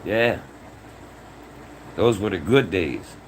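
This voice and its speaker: A man's voice: low-pitched, expressive, slow, a little hiss or hum, poor audio. A man in his sixties talking casually to his livestream chat, earnest and repeating his points, often ending phrases with "man". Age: 60s. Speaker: livestream host.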